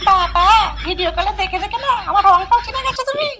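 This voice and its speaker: A performer with a shrill, pitched-up voice, voicing a brash, teasing, bossy young girlfriend in a crude comedy cartoon. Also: fast, heavy background noise. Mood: sly, teasing. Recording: loud music over the voice.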